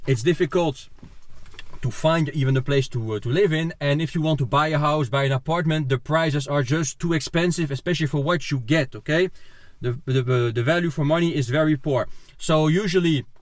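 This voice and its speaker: A man's voice: a little high, brisk. Man explaining with "okay?" tags. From Netherlands. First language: Dutch. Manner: methodical, many "okay?" and "uh" fillers. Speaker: YouTuber explaining a topic. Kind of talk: explanatory vlog monologue.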